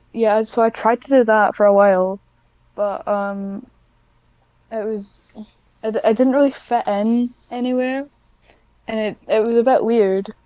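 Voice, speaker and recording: A woman with a fairly high voice speaks as a guest on a podcast. Her delivery is casual and a little halting, and the recording is very dry with no room sound. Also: mellow, smooth, no echo, dull audio. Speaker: podcast guest, script writer. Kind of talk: casual chat.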